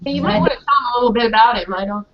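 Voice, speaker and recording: A middle-aged or older woman, a children's author, answering questions informally and haltingly over a video call. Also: on the high side, a loud crowd. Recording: dull, muffled video-call audio.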